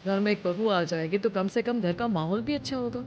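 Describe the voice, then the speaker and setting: A man's voice: sits high, a little fast, natural voice. A man voicing a stern, middle-aged Indian father, scolding and incredulous, in a dramatized story. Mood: coaxing. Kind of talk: dramatized Hindi story voice acting. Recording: dull lo-fi audio under loud music.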